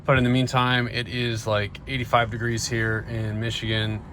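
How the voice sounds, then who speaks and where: A man's voice: level tone, normal speed, a bit bright. An American man giving casual, laid-back, matter-of-fact vlog commentary from his van. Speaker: vlogger. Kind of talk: casual running vlog commentary while driving. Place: inside and around an old van. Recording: very noisy car interior, engine rumble.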